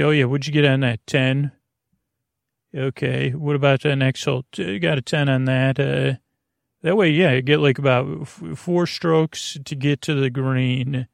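A middle-aged man with a creaky, gravelly voice hosting a bedtime podcast, rambling haltingly with frequent restarts and digressions, recorded in a small closet at home. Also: medium pitch, no echo, clean studio sound. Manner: halting, digressive, constantly restarting mid-thought. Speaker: host of a bedtime sleep podcast. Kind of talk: rambling, meandering bedtime monologue.

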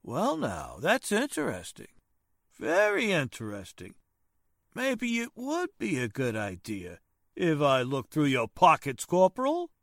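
Man playing tough private eye, blustering, uneducated, very animated pitch, 1940s radio drama. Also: unhurried, no echo, clean studio sound, exaggerated voice. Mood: sneering, suspicious. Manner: rough, ungrammatical, streetwise talk. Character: blustering, uneducated male private detective, suspicious tough guy.

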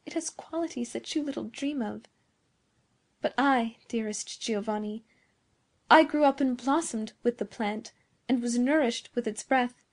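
A sorrowful young woman, as a woman narrator acts her out in a dramatic storybook tale. The voice is fairly high, tender and plaintive, with gentle, pleading archaic phrasing. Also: a little slow.

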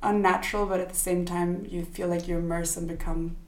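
Swedish-raised young woman, lower voice, roomy sound. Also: level tone, a little fast. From Sweden. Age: young adult. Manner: thoughtful, many fillers and restarts. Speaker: guest. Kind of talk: casual interview.

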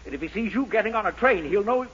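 A man's voice: high voice, lively intonation, fast talker, fairly bright tone, breathy edge, exaggerated voice. A man playing a bossy, secretive schemer in a 1940s radio sitcom. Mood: urgent, scheming. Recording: muffled old radio recording, faint noise.

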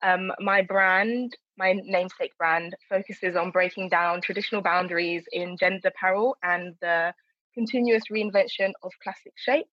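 A woman's voice: on the low side, steady tone, normal speed, dry recording. A woman introduces herself on a podcast, hesitant and full of fillers. The sound is dry and slightly dull. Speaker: guest, fashion designer. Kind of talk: casual podcast chat.

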